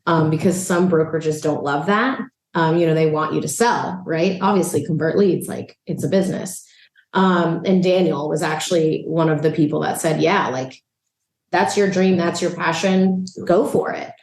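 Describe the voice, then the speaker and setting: A woman from El Salvador with a notably low voice chats easily in an interview, filling her sentences with "um" and "you know". She sounds like she is in a roomy apartment with echo around her. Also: normal speed. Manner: relaxed, chatty, frequent "um" and "you know". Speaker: real estate agent and investor. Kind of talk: casual interview chat. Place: a rental apartment.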